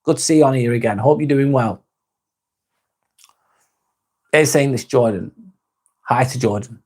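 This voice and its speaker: Man, mid-pitched, rambling live-stream host, laid-back, swears casually. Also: unhurried. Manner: relaxed, rambling, frequent restarts and swearing. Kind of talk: casual live chat reading comments.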